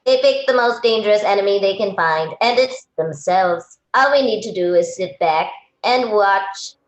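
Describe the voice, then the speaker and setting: A woman's voice: mid-range voice, animated, normal speed, close to an everyday voice. Smug alien strategist, played by a woman, echoey. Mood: smug, coldly amused. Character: cold, smug alien strategist watching humans destroy themselves. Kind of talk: radio play acting. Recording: muffled, echoey home recording.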